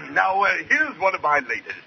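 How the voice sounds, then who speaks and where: A man's voice: high voice, very animated, gravelly, exaggerated voice. A grandly self-important dress designer in a radio sitcom. He is pompous and snooty, with extravagant melodic swings. The sound is muffled, with light noise from an old broadcast. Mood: condescending. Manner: pompous, drawn-out, haughty. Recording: muffled, noisy vintage radio recording.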